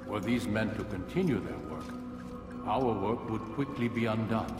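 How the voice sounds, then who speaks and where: An actor playing an aged, commanding assassin master in a video game, speaking as a grave, oratorical mentor. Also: male voice, on the low side, animated, moderate pace, echoey room, big-room sound, recorded from a distance, music in the background, natural voice. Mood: grave, probing. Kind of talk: video game cutscene dialogue.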